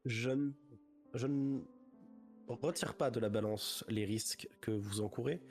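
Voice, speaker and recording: A game master voicing a wary young man who bargains over his father's debt, talking in halting, hedging restarts. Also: medium pitch, a little mellow, faint music behind, lightly acted. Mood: guarded. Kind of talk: voicing a character in a tabletop game.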